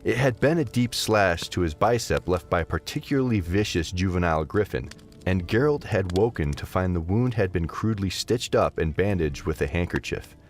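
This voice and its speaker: Low, animated male story narrator. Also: moderate pace, soft background music. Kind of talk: expressive scripted story narration.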